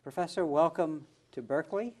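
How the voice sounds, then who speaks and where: A man hosting a formal interview, asking his guest questions in a measured, courteous way. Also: a little high, darkish tone. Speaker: interview host.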